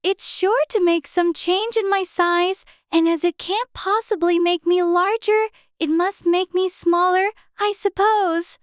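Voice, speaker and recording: A very high, piping little girl, curious and well-mannered, musing aloud in a prim, wondering voice. The storybook reading is dry and close, with no room around it. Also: steady tone, a little slow, hardly any pauses, dry recording. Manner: prim, wondering, talks to herself. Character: curious polite young girl. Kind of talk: dramatized audiobook reading.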